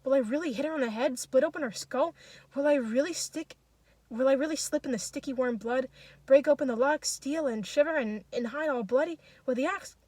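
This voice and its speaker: A woman voicing an anguished, self-doubting young man in a story, with agitated, halting, repeated questions. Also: high-pitched, darkish tone, no echo, lightly acted. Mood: horrified. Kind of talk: audiobook-style dramatic reading.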